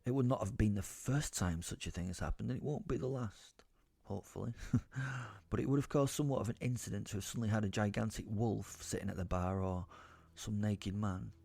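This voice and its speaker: A man's voice: on the low side, a little fast, gravelly, warm tone, no echo. An actor playing a foul-mouthed, ranting comic werewolf who narrates his own audio drama in a theatrical, rambling, sweary way. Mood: wry, confiding. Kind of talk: dramatised first-person storytelling. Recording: music under the voice.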